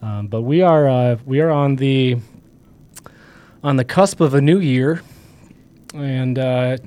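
A hoarse man co-hosting a chatty podcast, with a dark, mellow tone. He rambles and jokes, filling his talk with lots of ums and uhs. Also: mid-range voice, takes it slowly. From Michigan. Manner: joking, rambling with many fillers. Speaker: podcast co-host. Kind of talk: casual political podcast chat.

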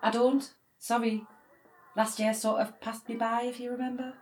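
An actress playing a grumpy, weary sister, sarcastic and exasperated, in a naturalistic audio drama. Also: female voice, fairly high pitch, level tone, normal speed, darkish tone, lightly acted. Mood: weary, sour.

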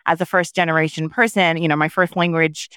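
A woman's voice: low-pitched, flat delivery, a little fast, fairly bright tone, clean tone, dry recording, clean studio sound. A woman from New York answering interview questions thoughtfully, with frequent restarts and fillers. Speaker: podcast guest.

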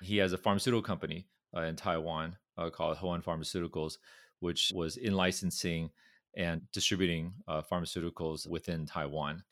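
A man's voice: low-pitched, fairly even intonation, fairly quick, a bit bright, no room sound, studio quality. A middle-aged man from Southern California with a Californian accent, speaking as a company chairman in a conversational interview, often repeating words as he gathers his thoughts. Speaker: pharmaceutical company chairman, guest.

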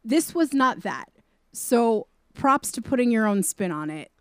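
A woman's voice: fairly high pitch, big pitch swings, a little slow, dry recording, clean studio sound. A woman co-hosting a casual movie review podcast, speaking directly and emphatically. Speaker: podcast co-host.

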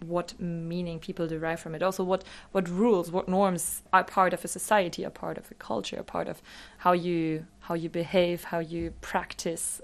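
Low-voiced woman, native German speaker. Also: vocal fry. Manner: thoughtful, academic. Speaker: interviewee, expert guest. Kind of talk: explanatory interview talk.

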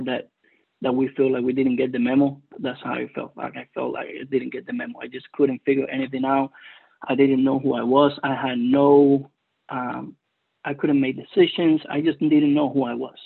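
A man with a Cuban Spanish accent speaks haltingly and earnestly, pausing often as he shares his story. His voice is gravelly and husky, held in a flat, even tone. It comes through thin, tinny phone-line audio. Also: medium pitch. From Cuba. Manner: hesitant, earnest, many pauses. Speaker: recovery meeting speaker. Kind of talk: personal testimony.

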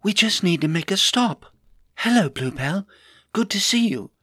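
A man's voice: high-pitched, animated, moderate pace, pushed and bright, no echo, clean studio sound, voice pushed up, exaggerated voice. A man voicing a spirited female hobbit adventurer with a breezy, coaxing manner in a storybook reading.

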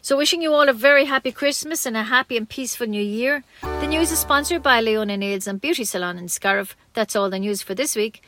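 A woman's voice: a little high, music in the background. Woman reading local radio news. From Ireland. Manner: measured, friendly reading with small slips. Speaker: community radio newsreader. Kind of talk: read local news bulletin.

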